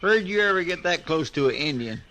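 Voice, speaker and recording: An eager western sidekick. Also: male voice, on the high side, animated, a little fast, sharp tone, slight background noise. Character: hungry, simple frontier sidekick, grown man, eager. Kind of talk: 1950s radio drama acting.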